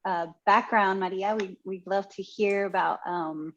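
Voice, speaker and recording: A woman hosting the forum, inviting her guest to speak in a friendly, halting way with fillers. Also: mid-range voice, fairly even intonation, a bit bright, slight background noise. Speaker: forum founder and host. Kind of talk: casual hosting. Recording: muffled lo-fi call audio.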